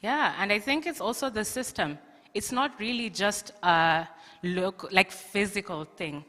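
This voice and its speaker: A woman's voice: medium pitch, a little slow, sharp tone. A woman from Kenya, a student, giving an upbeat conference presentation. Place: conference hall. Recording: long hall reverb.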